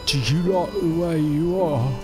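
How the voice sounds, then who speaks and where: An actor playing a big, gentle, simple-minded man who talks haltingly in broken, childlike sentences in an audio drama. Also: fairly high pitch, over loud music, close to an everyday voice. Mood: earnest, protective. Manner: simple broken third-person speech, halting, stammering.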